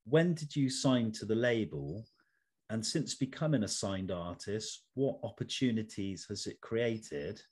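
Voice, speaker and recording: A man hosting an interview, asking prepared questions in a measured, formal way. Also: fairly low pitch, lively intonation, darkish tone, husky. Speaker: interviewer, host.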